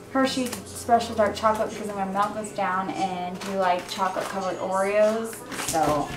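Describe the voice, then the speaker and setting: A young American woman talks to her camera in a loose, informal vlog style. Her voice sits at an average pitch. The sound is echoey, with clear background noise. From United States, Indiana. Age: young adult. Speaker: vlogger. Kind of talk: casual vlog chat. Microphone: camera microphone. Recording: roomy echo, noisy handheld camera audio.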